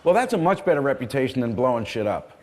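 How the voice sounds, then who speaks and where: A middle-aged man hosting a talk panel, asking his guests quick and lively questions. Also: medium pitch, background noise. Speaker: host. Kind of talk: interviewing guests.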